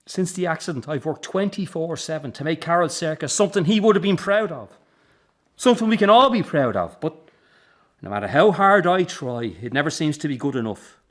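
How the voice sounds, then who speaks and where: An actor playing a driven, frustrated middle-aged son with an Irish accent, in a naturalistic radio drama. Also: male voice, on the high side. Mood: hurt, pleading. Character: driven, frustrated son running the struggling family circus.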